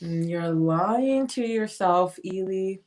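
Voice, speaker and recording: A woman's voice: mid-range voice, slow pace, darkish tone, close to an everyday voice. A woman playing a blunt, free-spirited, opinionated sister in a dramatic play reading. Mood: breezy, pointed. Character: blunt, free-spirited sister pushing self-liberation on her sibling.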